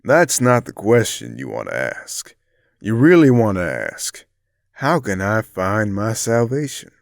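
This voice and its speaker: A man's voice: on the low side, huge pitch swings, unhurried, gravelly, no echo, clean studio sound, heavily acted. A man playing a big middle-aged crime boss in an audio drama, theatrical and condescending, with menace under a mock-friendly manner.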